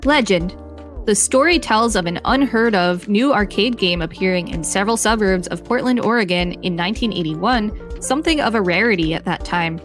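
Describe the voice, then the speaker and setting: An upbeat woman co-host reads an article aloud, picking up energy on lists. Her pitch sits mid-range for a woman. Faint music plays underneath. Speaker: podcast co-host reading articles. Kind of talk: reading aloud with banter.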